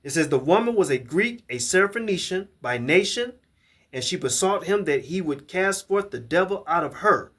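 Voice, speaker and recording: Man, slightly high voice, Bible teacher, emphatic, repeats himself, reads verses aloud to camera. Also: animated, moderate pace, a bit bright, slightly rough. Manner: emphatic, repeats and restarts words while reading verses. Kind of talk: scripture lesson to camera.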